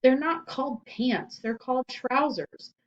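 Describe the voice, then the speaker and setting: A woman's voice: high voice, unhurried, far from the mic, muffled recording, voice pushed up. A woman voicing a self-important, earnest schoolboy who explains things proudly and matter-of-factly in a children's story. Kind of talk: children's audiobook character voice.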